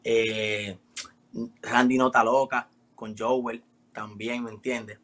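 A man in his twenties from the Dominican Republic with a Dominican accent, a rapper answering interview questions in relaxed slang. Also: medium pitch, slow. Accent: Dominican Spanish. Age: 20s. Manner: relaxed, street slang with frequent "me entiendes" tags. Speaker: rapper guest.

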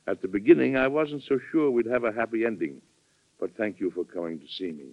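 An actor playing a dignified, fatherly president in a 1940s radio drama, speaking in a measured, earnest way. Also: on the low side, gravelly, no room sound. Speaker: host narrator. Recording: dull vintage radio recording with music.

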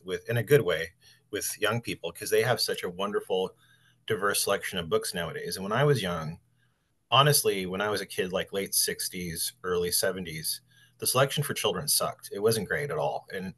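A man in his sixties speaks as a podcast host. His voice sits fairly low, and he talks as someone presenting and interviewing for listeners. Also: fairly bright tone. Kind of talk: podcast talk, introducing and interviewing an author.

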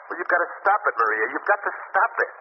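An actor playing a desperate husband who hides a dark secret, talking urgently and turning frantic, in 1940s radio acting. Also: male voice, a little high, big pitch swings, fast. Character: desperate middle-aged husband hiding a terrible secret. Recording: noisy, muffled old radio recording.

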